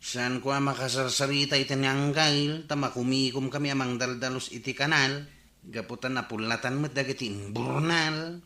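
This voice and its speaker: Echoey; evasive village nephew, mid pitch, bright, Ilocano accent, radio drama. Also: male voice, fairly even intonation, normal speed. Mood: defensive. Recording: roomy echo.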